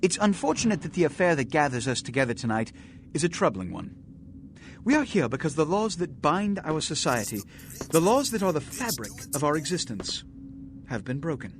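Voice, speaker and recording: An actor playing a pompous, authoritative vampire prince in a video game, speaking in a formal, oratorical and measured manner. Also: male voice, on the high side, sing-song, slight background noise. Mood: solemn, formal. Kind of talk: theatrical video game acting.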